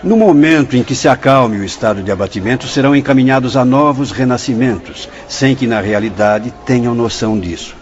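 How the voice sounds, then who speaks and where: A man acting as a stern, fatherly spirit director in a radio drama, with a Brazilian accent. He declaims in a formal, solemn way at a middling pitch. The audio is lo-fi, with music playing behind him. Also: a little fast, few pauses, close to an everyday voice. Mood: grave, instructive. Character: grave, authoritative spirit director of an asylum, fatherly but stern.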